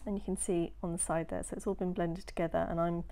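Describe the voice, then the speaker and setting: A woman narrating a home baking video in a chatty, relaxed way, sounding a little nasal from a cold, sometimes dropping into soft whispered asides. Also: fairly low pitch, fast, slight background noise. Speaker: home baker. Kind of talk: casual baking voice-over.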